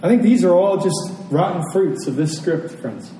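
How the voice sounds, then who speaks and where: A man's voice: sits high, lively intonation, moderate pace, dark tone. An American man giving a conversational sermon as a pastor, speaking reflectively and repeating phrases for emphasis. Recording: roomy, distant mic, faint noise.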